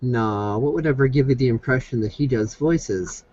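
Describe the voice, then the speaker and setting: A man's voice: medium pitch, moderate pace, dull audio, noisy background. A man on a video call, sarcastic and wisecracking. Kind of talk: casual chat on internet radio.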